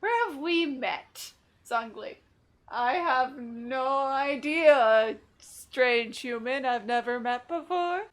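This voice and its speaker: A woman's voice: sits high, animated, slow pace. A woman giving casual, joking commentary while playing a video game. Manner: joking, voices mock quotes. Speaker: gaming commentator. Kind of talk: casual playful gameplay commentary.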